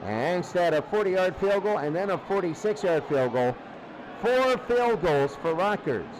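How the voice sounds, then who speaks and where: A high-voiced man gives live football play-by-play. He speaks in short, clipped phrases as the action moves. The recording is a noisy, low-fi broadcast. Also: lively intonation, unhurried. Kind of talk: live sports commentary.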